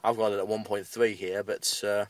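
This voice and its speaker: British male hobbyist vlogger, lowish and very monotone, casual rambling update, slightly off-mic. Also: normal speed, no echo, recorded from a distance. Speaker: amateur radio hobbyist making a video update. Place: inside a parked car.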